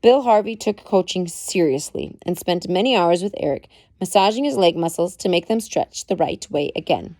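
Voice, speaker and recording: A woman reading a biography aloud at home in a measured storytelling way, with expressive emphasis. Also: on the low side, no echo. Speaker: parent reading aloud to child. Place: at home with a child nearby.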